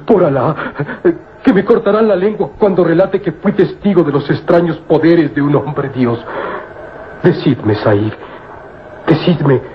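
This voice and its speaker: A man's voice: a little high, a little slow, a little hiss or hum, natural voice. Fawning, trembling desert servant played by a man. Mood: awed. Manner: imploring, stammering, repetitive, florid oaths. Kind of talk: old radio serial acting. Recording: dull old radio serial recording.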